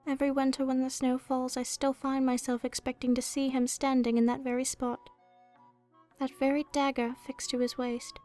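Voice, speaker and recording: A woman voicing an ancient, gentle female dragon in a soft ASMR roleplay, speaking wistfully and formally, as if telling a storybook tale. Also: sits high, little pitch movement, moderate pace, faint music behind, natural voice.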